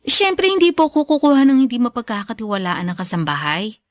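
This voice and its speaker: Polite, high-voiced devoted young daughter. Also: female voice, very animated, a little fast, no echo, clean studio sound. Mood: concerned. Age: young adult. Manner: polite, gentle, earnest. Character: devoted, respectful young daughter, fresh graduate. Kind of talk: Filipino radio drama acting.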